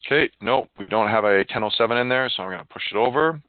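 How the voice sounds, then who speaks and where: A man's voice: on the low side, fast talker, no room sound. Man on a phone-like line, informal presenter. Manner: informal, chatty, muttering to himself while working. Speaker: software demo presenter. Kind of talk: casual live software demonstration. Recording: narrow, phone-like line, dry.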